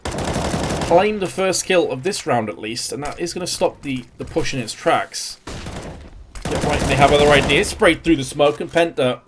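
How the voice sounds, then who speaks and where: A man's voice: fairly high pitch, normal speed, noisy background. A young man casting a live esports match, his energy rising and falling with the action. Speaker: esports caster. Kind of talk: live play-by-play commentary.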